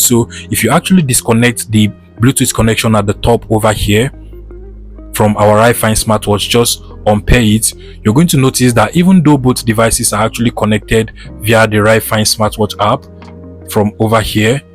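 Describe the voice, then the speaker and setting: Male tutorial presenter, lowish voice, instructional step-by-step delivery over background music. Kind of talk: scripted step-by-step tutorial narration.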